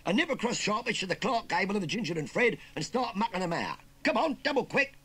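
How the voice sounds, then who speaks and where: Bullying Cockney scarecrow boy, high-pitched, raspy, loud, sneering, bossy, storybook character. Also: huge pitch swings, brisk, full character voice. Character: cocky bullying young Cockney scarecrow boy, menacing nephew.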